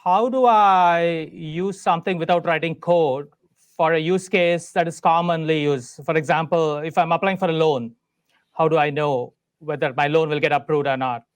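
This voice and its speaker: A man's voice: on the high side, steady tone, moderate pace, clear, resonant tone. A man co-hosting a webinar, asking playful, casual questions. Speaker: co-host.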